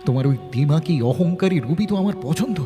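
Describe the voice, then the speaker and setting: A man's voice: fairly high pitch, animated, brisk, natural voice. A man playing a devoted, street-bred, self-made lover, protective and urgent, in melodramatic audio drama style. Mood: tender, brooding. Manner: melodramatic, urgent, swinging from hushed to forceful. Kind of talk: Bengali audio drama acting. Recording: long hall reverb, faint music behind.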